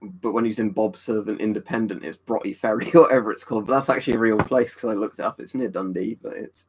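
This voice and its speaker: A man's voice: fairly low pitch, fast talker, a little hiss or hum. A man, casually chatting and answering viewers on a livestream. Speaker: livestream host reading chat. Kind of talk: casual chat.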